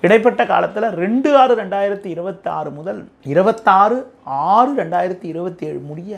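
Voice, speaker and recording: Tamil nadu guy doing astrology forecast, creaky voice, formal and measured, explains stuff. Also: high voice, muffled recording. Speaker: astrologer, presenter. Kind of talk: astrology forecast addressed to viewers.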